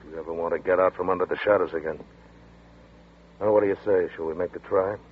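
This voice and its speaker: A man's voice: low voice, fairly even intonation, fast talker, slight background noise, lightly acted. A man voicing a tough, weary detective friend who talks in a blunt, matter-of-fact way, in 1940s radio drama. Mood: gruff. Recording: tinny old radio broadcast.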